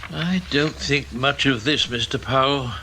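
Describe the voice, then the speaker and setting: A man's voice: medium pitch, measured pace, pushed and bright, slight background noise, rough recording. A man acting in a radio drama as a critical senior intelligence chairman, formal and dryly condescending.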